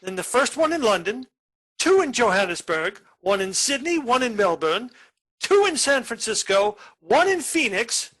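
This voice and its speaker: A man's voice: very high-pitched, sing-song, normal speed, fairly bright tone, heavily acted, poor audio. A man playing a menacing, boastful murderer in a radio play, with an emphatic, theatrical manner.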